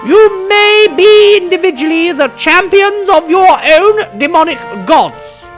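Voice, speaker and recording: A man voicing a defiant wizard in a fantasy audiobook, shouting in a declamatory way. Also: very high voice, expressive, slow, faint music behind, pitched above the performer's own voice, full character voice. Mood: defiant, grand. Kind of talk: dramatic audiobook character reading.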